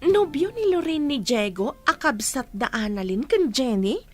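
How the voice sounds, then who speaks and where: A woman's voice: sits high, very animated, normal speed, faint music behind. A woman with an Ilocano accent playing a playful, teasing young village friend in a radio comedy-drama.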